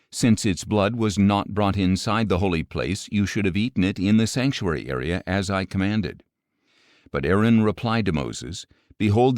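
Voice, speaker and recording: Low-pitched man reading scripture, dry sound. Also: darkish tone, dry recording. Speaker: audiobook narrator of scripture. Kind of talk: scripted Bible reading, measured narration.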